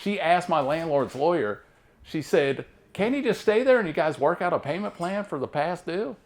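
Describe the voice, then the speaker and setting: This is a man in his thirties with a drawl that sounds like the American South, though he grew up near Baltimore. His voice sits a little high and moves through a lively, wide range. He tells self-deprecating stand-up jokes in a deadpan way. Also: normal speed. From Maryland, near Baltimore. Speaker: stand-up comedian. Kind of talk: stand-up comedy set.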